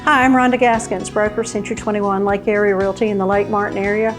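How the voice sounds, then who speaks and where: Woman broker pitching over music. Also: level tone, quick pace, poor audio. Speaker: real estate broker. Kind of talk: scripted ad read.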